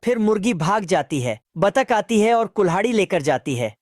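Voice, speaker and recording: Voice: mid-range voice, big pitch swings, moderate pace, background noise. A narrator recapping a cartoon in a casual, chatty way with a bit of street slang. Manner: asks viewers to comment.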